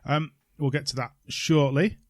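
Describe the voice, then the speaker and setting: A man's voice: fairly high pitch, fairly even intonation, moderate pace, no echo. Jokey man co-hosting a podcast, close dry sound. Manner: teasing, jokey. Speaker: podcast co-host. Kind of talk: casual banter.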